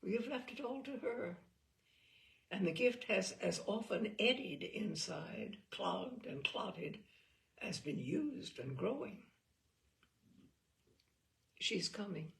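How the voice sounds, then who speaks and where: A woman reading a short story as a weary, regretful working mother, in a halting, deliberate, intense way. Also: medium pitch, expressive, vocal fry. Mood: intense, proud. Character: weary, regretful working mother confiding about her daughter. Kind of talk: dramatic first-person short story reading.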